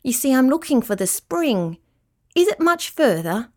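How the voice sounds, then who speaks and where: A woman's voice: high voice, very animated, measured pace, voice pushed up. A woman voicing a polite, apologetic sea rat in a children's storybook, earnest and easily puzzled. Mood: eager, earnest.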